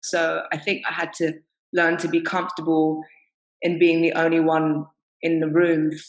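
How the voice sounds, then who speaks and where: A woman's voice: deep voice, completely flat delivery, normal speed, echoey room, low-quality recording. A woman panelist telling candid stories in a panel discussion, often laughing as she talks. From England.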